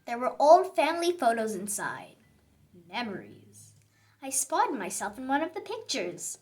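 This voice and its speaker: A woman's voice: fairly high pitch, huge pitch swings, a little mellow, slight background noise. A woman voicing a dramatic little sour grape who tells its own story in a children's picture book read-aloud, with theatrical emphasis. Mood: calm, wistful. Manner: theatrical, exclaiming, drawn-out emphasis on words.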